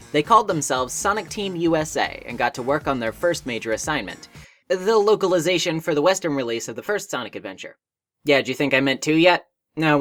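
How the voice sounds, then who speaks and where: A man's voice: on the high side, fairly bright tone. A man reading a scripted video essay in an articulate, wordy way, with wry asides. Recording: music behind narration.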